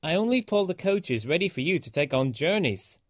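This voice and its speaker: A man voicing an impatient, boastful young male tank engine in a children's storybook, with a fussy, excitable manner. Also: on the high side, normal speed, no echo, clean studio sound, pitched above the performer's own voice. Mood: grumbling.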